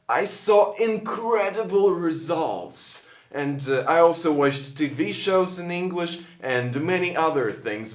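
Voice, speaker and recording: A young man, a native Russian speaker, giving earnest, expressive advice in an English lesson. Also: fairly high pitch. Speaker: English teacher. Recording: echoey room.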